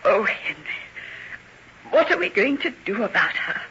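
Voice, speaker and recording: An actress playing a gentle, fretful middle-aged mother in an old-time radio melodrama, with a hushed and worried delivery. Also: medium pitch, big pitch swings, normal speed. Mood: worried, dismayed. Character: anxious, gentle older mother, easily shocked. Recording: muffled, noisy vintage radio recording, off mic.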